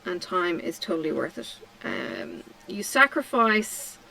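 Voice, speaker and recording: Slow, hesitant Australian-raised woman chatting. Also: lowish voice, gravelly, no echo, poor audio. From Australia (Tasmania). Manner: slow, hesitant, many ums and pauses. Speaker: craft vlogger. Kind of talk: relaxed chat while crafting.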